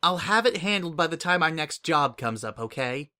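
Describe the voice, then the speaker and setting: A man's voice: fairly high pitch, animated, moderate pace, sharp tone, smooth, voice pushed up. A man doing a weary, defensive young mare. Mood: guarded. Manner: tired and guarded, snapping.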